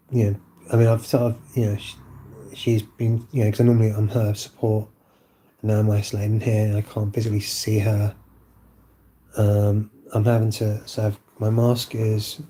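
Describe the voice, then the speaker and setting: A man vlogging from home while unwell, his voice lowered by illness, rambling and hesitant with many fillers. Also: dark tone, slight background noise, rough recording. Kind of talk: casual monologue to camera. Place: at home indoors.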